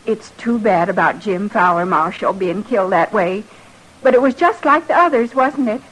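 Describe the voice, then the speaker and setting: A woman's voice: mid-range voice, animated, moderate pace, fairly bright tone, lightly acted. A woman playing a polite but guarded, insistent rancher's wife with a rural American accent in an old-time radio western, plain-spoken in manner. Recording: noisy vintage radio drama recording.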